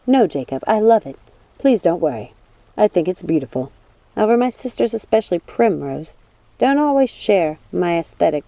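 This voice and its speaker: A woman voicing a gentle young witch who speaks politely and earnestly in a storybook reading. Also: on the low side, very animated, moderate pace, gravelly, no room sound. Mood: reassuring.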